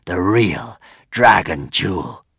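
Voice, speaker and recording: Hissing monster dragon, low and menacing, voiced by a male reader. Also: very animated, slow pace, vocal fry, a bit bright, breathy edge, dry recording, clean studio sound, full character voice. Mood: awed. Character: huge tormented male dragon, fierce.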